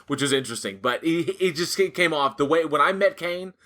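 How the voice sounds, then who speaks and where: A man's voice: fairly high pitch, expressive, brisk, pushed and bright. A man co-hosting a casual talk about horror films, rambling, swearing freely and laughing often.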